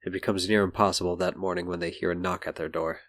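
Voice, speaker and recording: A man's voice: deep voice, flat delivery, quick pace, a bit bright, slight background noise. A man reading scripted story narration for an audio drama. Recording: music behind.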